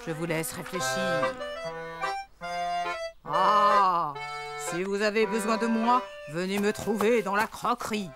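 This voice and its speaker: A woman's voice: mid-range voice, steady tone, slow, long pauses, close to an everyday voice. A woman voicing a playful elephant mother who talks like a pirate captain, theatrically, in a French children's cartoon. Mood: playful riddling. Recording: music behind the voice.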